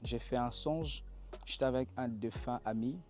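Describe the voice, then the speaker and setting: A young pastor from Congo recounts his calling and trials in an earnest, preacherly tone. His voice sits at an ordinary middle pitch, and he often restarts his phrases. Soft music plays faintly beneath him. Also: moderate pace. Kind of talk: personal testimony talk.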